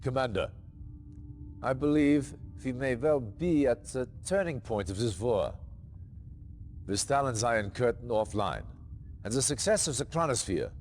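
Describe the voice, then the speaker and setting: An actor playing a solemn senior male officer delivering a mission briefing in a game cutscene, with dramatic pauses. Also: on the low side, a little mellow, slight background noise. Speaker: military commander. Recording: long echoing reverb.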